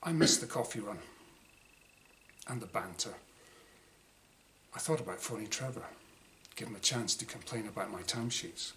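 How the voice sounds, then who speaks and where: A man's voice: on the low side, normal speed, long pauses, pushed and bright. A man reading his own first-person diary short story aloud in a wry, exasperated, dramatised way. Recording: clear background noise.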